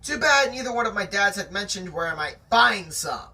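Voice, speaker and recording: Animated, bright-voiced storyteller, slightly distant. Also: medium pitch, moderate pace. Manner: animated, theatrical. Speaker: host reading a novel aloud. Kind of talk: amateur audiobook reading with chatty asides.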